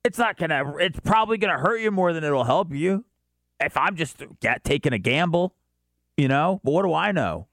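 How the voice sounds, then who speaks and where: High-voiced man, needling and questioning. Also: lively intonation, normal speed, fairly bright tone, no echo. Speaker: talk show co-host. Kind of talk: casual group banter.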